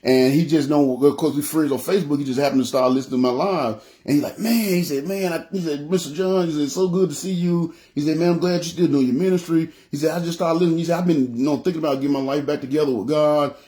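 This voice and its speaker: Man, pastor preaching on a livestream, deep warm voice, casual street talk, keeps checking "you know what I'm saying?". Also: fairly even intonation, quick pace, few pauses. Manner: acts out quoted lines.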